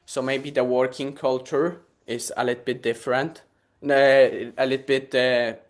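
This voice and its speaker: A man's voice: medium pitch, little pitch movement, measured pace. A young man from Poland, a Polish native speaker, answering interview questions hesitantly with many fillers and easy laughs. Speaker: guest.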